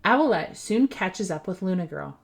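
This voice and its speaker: A woman reading a storybook aloud for young children in an animated, expressive storytelling style. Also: fairly low pitch, normal speed, creaky. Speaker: children's book reader.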